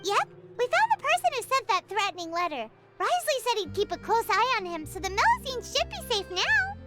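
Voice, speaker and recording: An actress voicing a tiny, cheerful sea creature who talks in a squeaky, bubbly way, with game music behind. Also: female voice, sing-song, fairly quick. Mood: reassuring. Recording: game audio with loud music.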